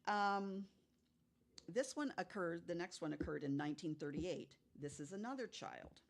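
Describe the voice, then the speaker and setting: A woman's voice: lowish voice, lively intonation, moderate pace. A woman telling stories of unexplained cases in a casual way, as a guest on a podcast.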